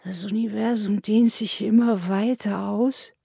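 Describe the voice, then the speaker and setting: A woman's voice: on the low side, fairly even intonation, unhurried, creaky, a little mellow, slightly rough, dry recording, studio quality, heavily acted. A woman voicing a drunk, despairing young male student in an audiobook, plaintive and childish, with slurred, tearful speech. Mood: childlike wondering. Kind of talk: audiobook character acting.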